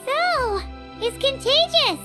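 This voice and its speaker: A woman voicing a tiny, bubbly childlike fairy companion in an anime video game, with a squeaky, perky delivery. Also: animated, slow pace, full character voice. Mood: cheerful, curious. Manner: perky, speaks of self in third person. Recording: music behind.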